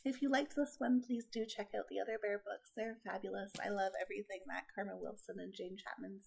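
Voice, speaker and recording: A woman's voice: mid-range voice, fairly even intonation, fairly quick, vocal fry, slight background noise. A woman hosting a children's story time, reading and chatting expressively while getting over a cold. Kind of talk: expressive picture-book reading and friendly address to children.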